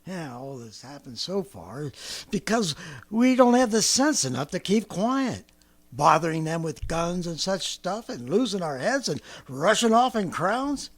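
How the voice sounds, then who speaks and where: A man's voice: high-pitched, sing-song, a little slow, full character voice. An actor in 1930s radio drama style playing a fanatical survivalist artilleryman with an American accent, ranting colloquially and swinging from brooding to frenzied. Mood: grim, defiant. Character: fanatical survivalist artilleryman, swept up in grandiose schemes.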